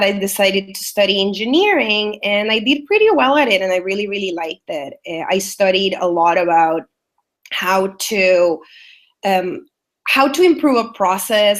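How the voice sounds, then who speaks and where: A woman with a Salvadoran Spanish accent, a native Spanish speaker, talking over a webinar call. She presents in an upbeat way, at a middle pitch, with frequent filler sounds. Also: a bit bright. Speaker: guest presenter, business strategist. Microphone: online webinar call.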